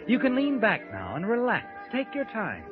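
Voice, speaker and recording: A man's voice: sits high, huge pitch swings, normal speed. An actor playing a sinister, all-knowing narrator who taunts a guilty man in a 1940s radio mystery. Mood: taunting. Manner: taunting, insinuating. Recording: dull old radio recording with music.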